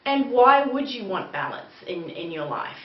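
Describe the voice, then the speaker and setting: A woman's voice: medium pitch, sing-song, moderate pace. A middle-aged woman with an Australian accent giving an informal webinar, explaining things in a conversational way. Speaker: webinar presenter. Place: small indoor room with bare wall, seated. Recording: roomy, distant mic, dull sound, faint noise.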